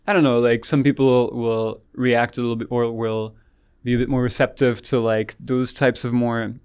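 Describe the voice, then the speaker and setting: A man in his late twenties from France with a light French accent, a yoga teacher talking calmly and softly in a casual interview. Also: medium pitch, moderate pace, clear, resonant tone. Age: late 20s. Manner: calm, soft-spoken, frequent restarts and laughs.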